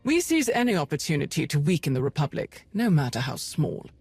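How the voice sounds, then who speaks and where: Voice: medium pitch, sing-song, a little fast, darkish tone, slightly rough, no room sound. An actor playing a coolly superior imperial Sith schemer in a video game, speaking formally and precisely. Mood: scheming. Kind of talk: video game dialogue acting. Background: music.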